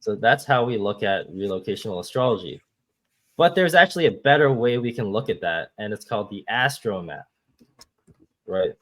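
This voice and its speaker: A man's voice: fairly low pitch, lively intonation, normal speed, fairly bright tone. A man from Hong Kong, a guest astrologer, patiently explaining step by step over a video call. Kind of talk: explaining with shared screen.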